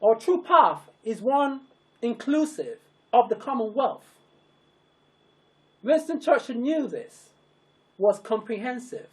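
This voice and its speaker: A narrator reads a formal public address very slowly. The pitch moves widely from phrase to phrase. The delivery is solemn and emphatic, with sentences broken into short, weighty pieces. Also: expressive, darkish tone. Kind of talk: scripted, declamatory public address.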